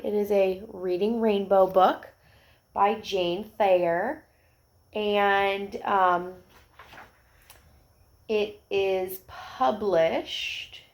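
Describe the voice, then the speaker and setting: A woman's voice: medium pitch, gravelly. A woman narrating a children's storybook aloud, with asides and questions to young listeners.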